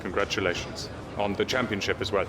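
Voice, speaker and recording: An actor playing a competitive, level-headed male racing driver in a game cutscene, measured and dryly needling. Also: fairly low pitch, steady tone, background noise, natural voice. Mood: measured, gracious. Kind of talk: video game cutscene acting.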